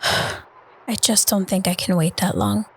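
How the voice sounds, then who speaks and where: A woman's voice: medium pitch, fairly even intonation, takes it slowly, gravelly. A young woman playing a weary, resentful long-distance girlfriend in an audio drama phone call, curt and exasperated. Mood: weary, serious. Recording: noisy phone-call style recording.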